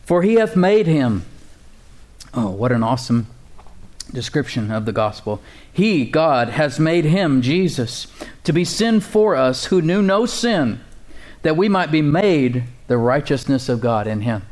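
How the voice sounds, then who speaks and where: A man's voice: a little high, very animated, unhurried, darkish tone. A male pastor preaching a sermon in a conversational, emphatic way, repeating words and chuckling now and then.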